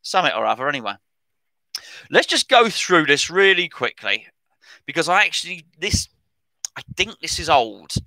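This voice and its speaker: A middle-aged man hosts a casual football podcast, rambling and correcting himself as he goes. His voice is bright and cutting and sits a little above the usual pitch for a man. The recording is dry, with no room sound. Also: sing-song, moderate pace, gravelly, long pauses, no room sound, rough recording. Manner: chatty, rambling, self-correcting. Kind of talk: casual commentary on transfer news.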